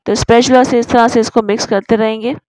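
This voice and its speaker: A woman's voice: on the high side, fairly even intonation, fast talker, darkish tone, dry recording, rough recording. A woman narrating a recipe tutorial as a voice-over, explaining each step in an instructive way. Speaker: cooking channel host.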